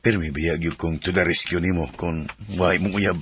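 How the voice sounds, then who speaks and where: A woman's voice: a bass voice, fairly even intonation, moderate pace, slight background noise, pitched below the performer's own voice. A woman voicing a teasing, nosy young male best friend in a radio drama.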